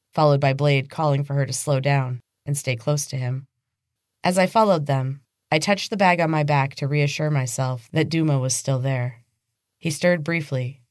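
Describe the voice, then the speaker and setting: A woman's voice: low-pitched, dry recording, clean studio sound. A woman reading fantasy fiction aloud as a dramatic, scripted audiobook narration. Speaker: podcast host and author reading own novel.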